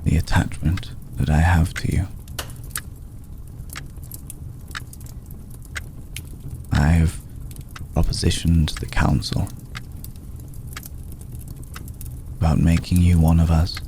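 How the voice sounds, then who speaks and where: A man voicing an ancient, courtly, protective vampire lord in a hushed ASMR roleplay, speaking formally and with deliberate pauses. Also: rumbling low, flat delivery, a little hiss or hum. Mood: tender, hopeful. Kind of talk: ASMR roleplay, slow intimate scripted monologue.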